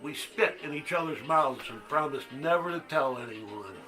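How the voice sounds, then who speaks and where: A man's voice: on the high side, expressive, normal speed, pushed and bright, faint music behind. An actor playing a crude, haunted man who halts and confides as he tells a story in a stage monologue. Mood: confiding, intense. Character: crude, haunted man recounting a boyhood secret. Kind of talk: one-act monologue, storytelling.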